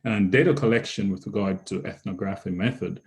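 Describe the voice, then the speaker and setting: Low-voiced Jamaican man giving a lecture. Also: darkish tone. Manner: measured and explanatory, stopping to restate key terms. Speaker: research presenter. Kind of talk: recorded lecture on research methods.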